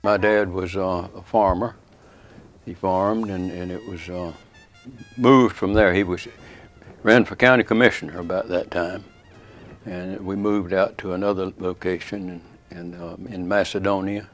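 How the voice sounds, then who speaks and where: A man, recalling his family's past in halting speech, searching for words. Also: sits low, steady tone, soft background music. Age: 80s. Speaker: interviewee. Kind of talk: oral history reminiscence.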